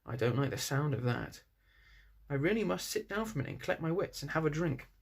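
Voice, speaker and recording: A man's voice: on the high side, expressive, quick pace, vocal fry. A man voicing a flustered, fussy little male hobbit who stays polite while exasperated, for a storybook reading. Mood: dismayed.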